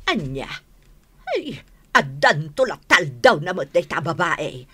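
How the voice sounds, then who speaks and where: Husky, sharp older Filipino village mother. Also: fairly low pitch, sing-song, takes it slowly, full character voice. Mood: alarmed, indignant. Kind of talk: radio drama acting.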